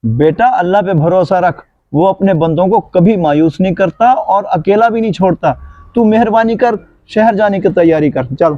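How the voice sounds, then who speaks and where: A man's voice: sits high, mellow, natural voice. A man acting as a trusting, devout elderly village father in a moral drama, earnest and full of pious words. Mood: hopeful, urging. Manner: earnest, pious, speaks in blessings. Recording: dull lo-fi audio, roomy echo.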